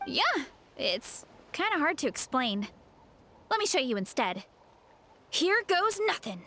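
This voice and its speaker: A woman's voice: sits high, very animated, a little slow, pushed and bright, a little hiss or hum, exaggerated voice. A woman voicing a cheerful, humble young athletic warrior in a video game, speaking in a friendly, eager, casual way. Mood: breezy, reassuring. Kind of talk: video game character acting.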